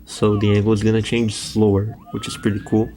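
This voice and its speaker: Man, Brazilian Portuguese accent, native Portuguese speaker, young adult, laid-back tutorial voice-over, fillers, casual cursing, slightly low voice. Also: a little fast. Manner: relaxed, loose, swears casually, frequent fillers. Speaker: speedrun tutorial host.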